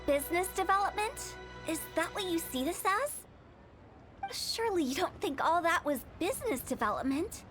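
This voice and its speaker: A woman's voice: extremely high pitch, expressive, normal speed. A woman playing a playful, cheeky young funeral director in an anime video game, theatrical and chatty, with music behind. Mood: teasing.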